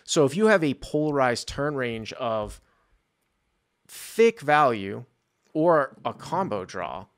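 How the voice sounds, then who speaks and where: A man with a creaky, fry-laden voice at an average pitch hosts and coaches in a casual, analytical way. He thinks aloud and speaks informally, close to a studio microphone. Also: big pitch swings, slow. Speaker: poker call-in show host and coach. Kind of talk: casual analytical poker discussion. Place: small indoor studio. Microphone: close desktop studio microphone, headset on.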